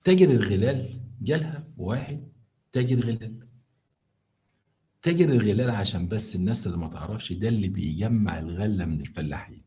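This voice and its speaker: A man with an Egyptian Arabic accent tells a story live to his viewers and sounds tired. His voice sits low and mellow. He keeps stopping to explain and often restarts mid-word. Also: animated, fairly quick. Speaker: live stream storyteller host. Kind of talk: casual live stream storytelling.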